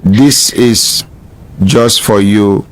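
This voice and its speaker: A Nigerian man hosts a live radio phone-in. His voice sits fairly low, and his talk is casual with a Pidgin lilt. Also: extremely slow. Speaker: radio programme host.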